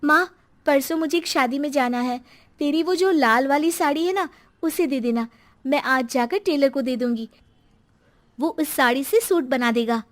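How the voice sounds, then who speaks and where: A woman's voice: high-pitched, moderate pace, pitched above the performer's own voice, natural voice. A woman voicing a spoiled, demanding college-age daughter in a cartoon story, complaining and mocking.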